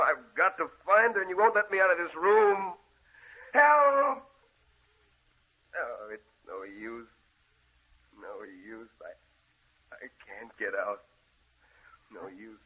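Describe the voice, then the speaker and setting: An actor playing a distraught, half-mad bereaved man, pleading and calling out melodramatically in an old radio drama. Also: sits high. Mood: frantic, then despairing. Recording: noisy, tinny old radio recording.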